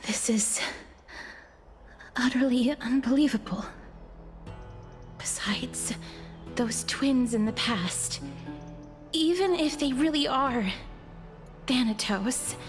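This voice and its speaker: An actress playing a gentle, earnest young girl in a video game, speaking carefully and haltingly. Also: high voice, soft background music. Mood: disbelieving. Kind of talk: scripted dramatic game dialogue.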